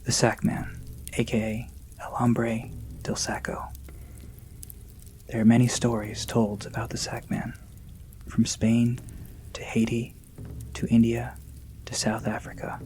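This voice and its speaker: An ominous teller of dark folklore, acted by a man, mellow and level in pitch. The delivery is menacing and scripted, dropping toward a hush, like a horror podcast warning. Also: natural voice. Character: ominous storyteller warning of a child-eating bogeyman. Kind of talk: spooky scripted legend narration.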